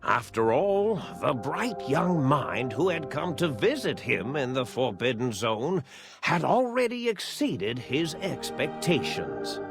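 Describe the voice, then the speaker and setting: A man narrating a video game cinematic as a grave storyteller, speaking solemnly and deliberately with weighty pauses. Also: mid-range voice, big pitch swings. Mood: wry, measured. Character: grave storyteller recounting a post-war science legend. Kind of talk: scripted narration, measured storytelling. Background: music behind the voice.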